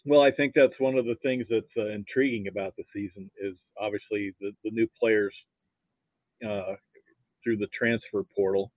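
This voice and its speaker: A man from Nebraska with an American accent, chatting casually as a sports fan guest in a halting, rambling, folksy way. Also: fairly low pitch, steady tone, no room sound. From Nebraska, United States. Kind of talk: casual chat. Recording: thin phone-like narrow-band line.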